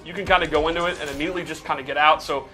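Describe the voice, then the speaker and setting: A man with a bright, higher-pitched voice explains game mechanics casually. He talks quickly, with music in the background. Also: fairly even intonation. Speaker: game developer explaining character moves. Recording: music playing behind the voice.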